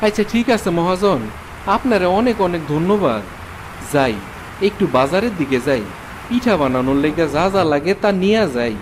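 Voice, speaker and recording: A man's voice: sits high, big pitch swings, normal speed, background noise. A man playing a poor, humble village fisherman in a cartoon folk tale, speaking plain rustic Bangladeshi colloquial Bengali. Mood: grateful.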